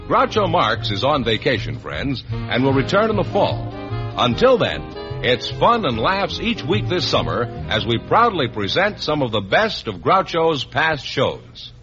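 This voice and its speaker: Old time radio announcer guy, polished and really animated, muffled vintage broadcast sound. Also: medium pitch, unhurried. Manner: polished, emphatic. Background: music.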